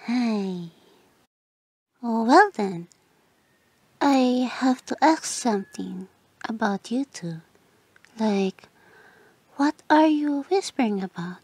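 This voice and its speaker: A woman voicing an obsessive, sweetly menacing yandere childhood friend in an ASMR roleplay, drawn-out and teasing, slipping into breathy whispers. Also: on the high side, very animated, a little mellow, dry recording, exaggerated voice. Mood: sweetly suspicious.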